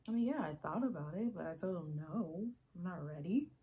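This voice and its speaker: A woman performing a dramatic monologue as an earnest, ageless young woman making an intimate, halting confession to her lover. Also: fairly low pitch, animated, mellow. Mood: pleading, insistent.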